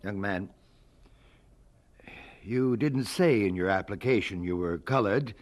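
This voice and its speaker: Stern, dismissive official, formal and halting, slow delivery, lowish voice, dramatic radio-play style. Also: male voice, slight background noise. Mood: cold, awkward. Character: stern, dismissive university official turning away a student. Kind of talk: audio drama acting.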